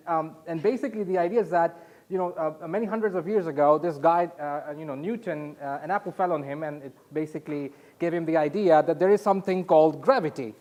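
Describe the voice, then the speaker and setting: Higher-voiced man giving a halting conference talk. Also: darkish tone. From Saudi Arabia. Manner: halting, explanatory, rhetorical questions. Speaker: conference speaker. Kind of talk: conference presentation with audience questions.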